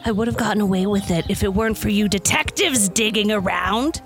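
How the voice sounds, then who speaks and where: A woman's voice: medium pitch, a little fast, faint music behind, close to an everyday voice. A woman playing a grumbling, thwarted rival gardener, the villain of a comic radio mystery. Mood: bitter, thwarted.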